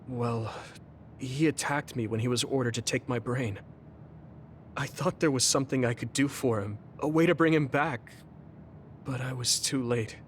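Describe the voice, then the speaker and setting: A man's voice: medium pitch, slight background noise, lightly acted. A man voicing an earnest, sincere young male soldier in an anime game dub, reflective in delivery. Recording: music playing behind the voice. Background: game background music.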